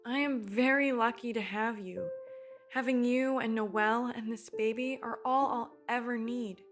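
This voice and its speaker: Woman playing a warm candid survivor in a radio drama, higher voice, music behind. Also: fairly even intonation, a little slow, natural voice. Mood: heartfelt. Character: warm, candid, resilient female survivor, expecting a baby. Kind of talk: radio play acting.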